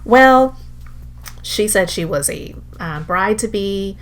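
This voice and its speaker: A woman telling a personal motivational story in an earnest way, with deliberate, emphatic repetition. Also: mid-range voice, lively intonation. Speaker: entrepreneur telling her story. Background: background music.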